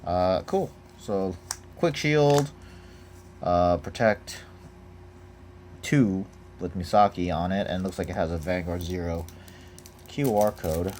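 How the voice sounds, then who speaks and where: Low slow-talking man, noisy room. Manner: rambling, relaxed, many fillers. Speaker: card collector unboxing. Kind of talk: casual unboxing commentary. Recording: noisy home recording. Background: crinkling of packaging.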